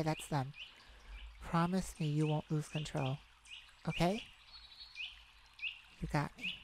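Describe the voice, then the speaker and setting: A woman playing a tenderhearted mage devoted to a werewolf partner in a romantic ASMR roleplay, soft, affectionate and creaky, with pauses. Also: sits low, noisy background. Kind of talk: romantic ASMR roleplay, one-sided dialogue.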